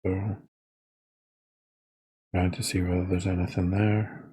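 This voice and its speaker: A man with a soft, husky voice leading a guided body scan meditation in an informal, unscripted, halting way. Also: low voice, flat delivery, slight background noise. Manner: hesitant, halting delivery with trailing phrases.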